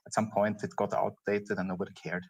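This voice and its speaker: A man's voice: fairly low pitch, little pitch movement, slightly rough. A man with an Austrian accent speaking as a panelist at a conference, hesitating and searching for his words. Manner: halting, searching for words, chuckling.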